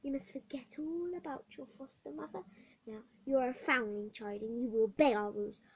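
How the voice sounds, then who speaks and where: A stern, scornful matron from a storybook snaps orders, as a woman reader puts her on. Her breathy voice sits a little above the narration and pushes louder. Every line is commanding and cold. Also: high voice, fairly even intonation, fairly quick. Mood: scolding. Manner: scolding, commanding.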